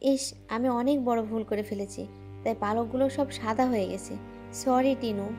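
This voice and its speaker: A woman's voice: on the high side, normal speed, natural voice. A woman voicing a struggling poor mother who turns greedy, in a homemade cartoon. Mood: regretful. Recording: music playing behind.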